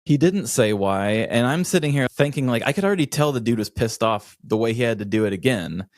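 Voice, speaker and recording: A man's voice: medium pitch, animated, brisk, no echo, studio quality. An American man co-hosting a podcast, telling casual comedic stories with a dramatic, self-deprecating, complaining manner. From United States. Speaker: podcast co-host.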